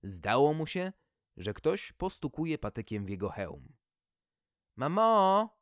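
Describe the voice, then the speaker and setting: Male storyteller for a wry fairy tale, very varied intonation, measured pace. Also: lowish voice, sing-song, no echo, clean studio sound.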